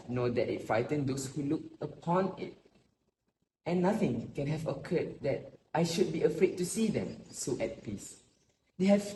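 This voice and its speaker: A man playing a solemn, sorrowful grandfather in his eighties, speaking deliberately as in an amateur stage play. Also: a little high, mellow. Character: sorrowful, grave old grandfather of nearly eighty-three. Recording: roomy echo with faint noise.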